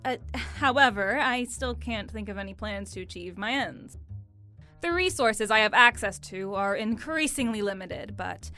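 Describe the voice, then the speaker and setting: A woman's voice: medium pitch, lively intonation, moderate pace, sharp tone, soft background music. A woman playing a guarded, wry young schemer who has been betrayed, in an audio drama. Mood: playful.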